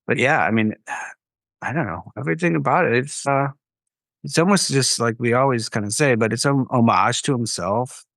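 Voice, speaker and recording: A man's voice: medium pitch, moderate pace, vocal fry, a bit bright, no echo. A man co-hosting a podcast in casual chat about a record, musing aloud and trailing off with fillers. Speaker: podcast co-host.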